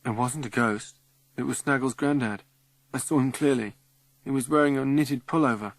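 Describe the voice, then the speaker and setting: A man's voice: mid-range voice, normal speed, dry recording, voice pushed up, natural voice, low-quality recording. A male reader voicing an earnest, insistent boy who says he sees a ghost. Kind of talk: audiobook character reading.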